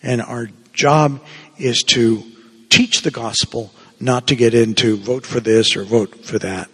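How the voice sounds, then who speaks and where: Man, Cuban-born priest, husky voice, long church reverb. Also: medium pitch, fairly even intonation, unhurried, a bit bright. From Cuba. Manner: conversational, digressive preaching with asides. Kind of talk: homily.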